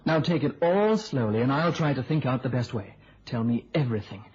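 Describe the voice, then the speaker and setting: Husky, eager young reporter. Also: male voice, medium pitch, expressive, a little fast, darkish tone. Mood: reassuring. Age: young adult. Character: eager, coaxing young male newspaper reporter. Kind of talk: old-time radio drama acting. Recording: muffled old radio drama recording.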